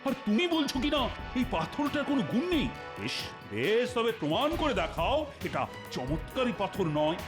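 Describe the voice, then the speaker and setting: A man playing a gullible, quick-tempered king in a comedy cartoon, pompous and given to repeating his words. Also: high voice, very animated. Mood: indignant. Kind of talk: Bengali comedy cartoon dubbing. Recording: music behind the voice. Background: background music.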